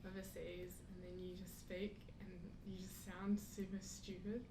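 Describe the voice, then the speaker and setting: A woman's voice: fairly low pitch, level tone, measured pace. A young woman from New Zealand with a New Zealand accent, chatting casually as a guest and peppering her talk with "like". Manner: chatty, laughs often, fillers like "like". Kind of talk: casual chat. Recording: echoey room with clear background noise.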